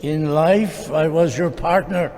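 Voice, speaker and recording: A man voicing the solemn ghost of a dead business partner in a live radio play, speaking slowly and gravely. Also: a little high, a little mellow, a little hiss or hum. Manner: slow, grave, probing. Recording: long reverb.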